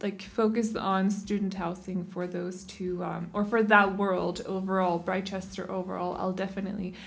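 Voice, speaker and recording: A woman's voice: medium pitch, little pitch movement, normal speed, vocal fry, soft background music. A young Danish woman, not a native English speaker, talks casually over her speed build video, rambling and often correcting her own word choices. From Denmark. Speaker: game building channel host.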